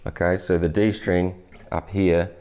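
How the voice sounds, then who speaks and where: A man, teaching guitar in a relaxed, chatty way with plenty of restarts, on a lapel mic in a studio. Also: deep voice, unhurried. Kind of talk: casual guitar tutorial. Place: indoor studio, brick wall backdrop. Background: acoustic guitar playing.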